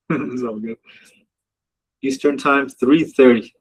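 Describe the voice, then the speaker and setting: A man's voice: mid-range voice, slightly rough, poor audio. A man hosting a live trading session from Dubai, chatting casually, joking with viewers in the chat and laughing often. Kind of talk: casual live-stream commentary. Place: home office in Dubai.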